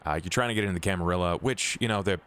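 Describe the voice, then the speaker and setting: A man as a deep-voiced, distrustful vampire. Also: rapid-fire, a bit bright, clean tone. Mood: dismissive, wary. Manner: casual, dry, blunt. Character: blunt, distrustful male vampire freelancer, laid-back and dismissive.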